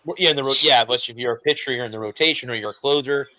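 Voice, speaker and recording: A man's voice: medium pitch, racing, bright, forceful tone, no room sound, low-quality recording. A man co-hosting a casual sports talk show, explaining at length and correcting himself as he goes. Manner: rambling, self-correcting explanations. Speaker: co-host.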